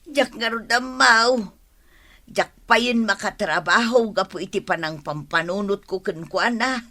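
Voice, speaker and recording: A woman's voice: mid-range voice, measured pace, sharp tone. A woman with an Ilocano accent playing a needy, pining older village woman in a radio comedy drama, pleading and plaintive.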